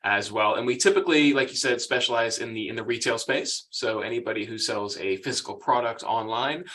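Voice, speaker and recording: A young American man runs a webinar in a chatty, upbeat way and laughs easily. His voice is bright and forward at a middle pitch. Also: a little fast. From United States. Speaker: guest presenter. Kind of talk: webinar presentation.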